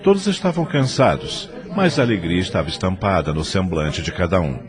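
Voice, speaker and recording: A man's voice: deep voice, expressive, fairly quick, crowd noise. A man narrating a scripted audio drama in a formal, literary storytelling manner.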